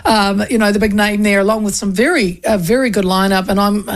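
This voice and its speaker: A woman's voice: mid-range voice, steady tone, fairly quick. A bubbly woman hosts a talk radio show from her studio. Her voice sits in a middling register, and she chats freely, looping back and restarting her sentences. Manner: chatty, bubbly, rambling with restarts. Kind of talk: casual talk radio interview.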